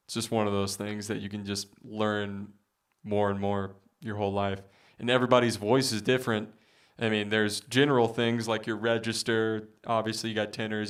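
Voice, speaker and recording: Rambling low-voiced baritone man. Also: clear, resonant tone, far from the mic. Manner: rambling, chatty, many fillers, reads out viewer comments. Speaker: musician streamer. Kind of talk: casual livestream chat.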